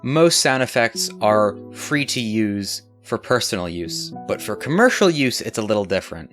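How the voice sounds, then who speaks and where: A man who is sick talks through an update on his channel in a casual, candid, self-deprecating way. His voice sits on the low side, and he stumbles and restarts when he goes off script. A music bed plays clearly underneath. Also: big pitch swings, moderate pace, fairly bright tone. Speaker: channel creator.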